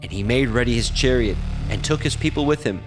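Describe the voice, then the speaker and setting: A man narrating scripture from a script in a measured, solemn manner. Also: mid-range voice, music in the background, low-quality recording.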